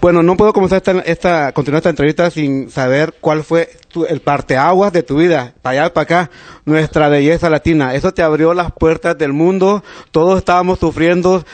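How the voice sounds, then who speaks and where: A man's voice: a little high, fairly even intonation, fairly quick. A middle-aged Salvadoran man with a Salvadoran Spanish accent, hosting a casual interview in an effusive, playful way on a handheld microphone. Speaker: interviewer and show host. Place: small decorated room indoors.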